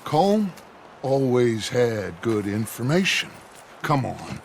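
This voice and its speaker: An actor playing a commanding middle-aged American outlaw gang leader in a video game, theatrical and oratorical, bent on vengeance. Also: male voice, mid-range voice, big pitch swings, takes it slowly, creaky, slight background noise, full character voice. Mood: firm, persuading. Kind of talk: dramatic video game acting.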